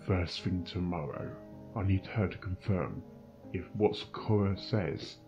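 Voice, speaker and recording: A low-pitched, stern pony doctor, acted by a man in a dramatic story reading. He speaks with grim, deliberate threat, like an interrogator who will not be crossed. Music plays faintly behind him. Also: highs cut off. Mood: grim, firm. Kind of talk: dramatic fan fiction character reading.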